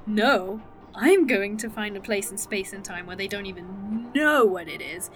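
A woman's voice: mid-range voice, soft background music, lightly acted. An actress playing a snarky, teasing woman time traveler with a fake arm in an indie audio drama. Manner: dry, teasing, quick-witted.

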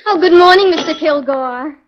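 A woman's voice: sits high, moderate pace, close to an everyday voice. A woman acting the part of a department store employee in a 1940s radio drama. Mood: chatty. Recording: muffled, hissy 1940s radio recording with clicks.

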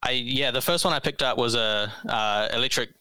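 A man's voice: medium pitch, fairly quick, bright, forceful tone, smooth, no room sound. A young man, answering interview questions in an easygoing, modest way with many ums. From New Zealand. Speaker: podcast guest.

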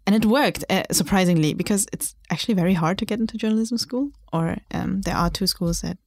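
A woman's voice: mid-range voice, fairly quick, no room sound. A young woman from Germany whose first language is German, a journalist and writer, talking reflectively in a casual interview and laughing often.

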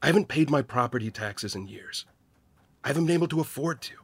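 An actor playing a weary, guilt-ridden small-town loner in a naturalistic audio drama, husky and hesitant, confessing haltingly. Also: male voice, medium pitch, animated, no echo. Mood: reluctant confessing.

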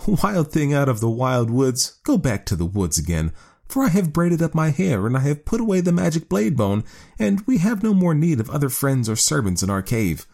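Proud clever cave woman played by a man, high lofty sing-song, commanding, storybook figure. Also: big pitch swings, normal speed, gravelly, a little mellow, full character voice. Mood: haughty.

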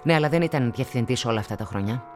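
A man's voice: medium pitch, animated, soft background music, lightly acted. A man acting as a persistent interviewer in a radio drama, asking brief, direct questions. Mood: probing. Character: probing, persistent, businesslike interviewer. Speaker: actor.

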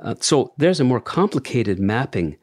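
A man's voice: mid-range voice, sing-song, moderate pace, darkish tone, no echo, studio quality. A man co-hosting a podcast, talking through ideas in a reflective, conversational way and asking his co-host questions. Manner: thoughtful, explains ideas at length, asks questions.

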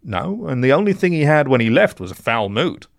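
A man's voice: mid-range voice, sing-song, fairly quick, vocal fry, no room sound, studio quality, voice pushed up. A man voicing a nervous, tearful mole drug runner in a story, stammering and pleading. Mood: anxious, confiding. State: sobbing.

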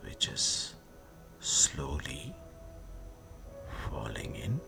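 A man guiding a sleep meditation, speaking soothingly in short hushed phrases with long pauses, often fading into a breathy whisper. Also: rumbling low, wildly swooping pitch. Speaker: meditation guide. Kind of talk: guided sleep meditation narration. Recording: music behind the voice. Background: meditation music.

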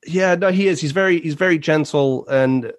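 A man's voice: a little high, normal speed, dry recording. Easygoing man from Switzerland, very dry sound. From French-speaking Switzerland. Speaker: podcast guest. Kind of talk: casual conversational interview.

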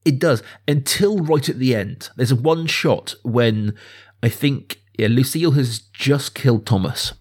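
A man with a mid-pitched voice hosts a relaxed film conversation. He explains his thoughts in detail, with interest and enthusiasm. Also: measured pace, slightly rough. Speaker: podcast host. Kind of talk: casual film discussion.

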